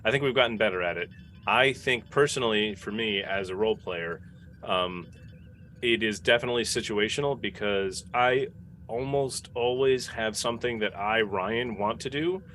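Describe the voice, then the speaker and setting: A man with a fairly low but bright, forceful voice talks casually and reflectively, correcting himself as he goes. There is faint noise in the background. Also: moderate pace. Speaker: podcast cast member and role player. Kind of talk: casual panel chat.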